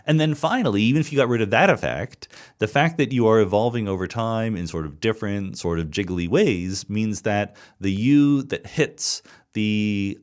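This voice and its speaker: A middle-aged man hosting a podcast, answering listener questions in a thoughtful solo monologue, explaining step by step with frequent restarts and repeated words. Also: fairly low pitch.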